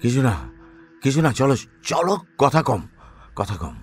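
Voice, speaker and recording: An actor playing a tipsy, irritable, evasive husband in an audio drama, brusque and repeating himself. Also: male voice, medium pitch, very animated, a little slow, faint music behind. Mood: drunken urgency. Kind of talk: Bengali audio drama acting.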